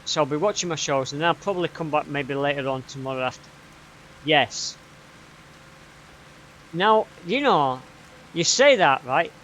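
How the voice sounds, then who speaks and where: A man's voice: a little high, a bit bright, a little hiss or hum, low-quality recording. Chatty Yorkshire man rambling over gameplay. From Yorkshire, England. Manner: chatty, rambling, tag questions. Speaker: gaming YouTuber.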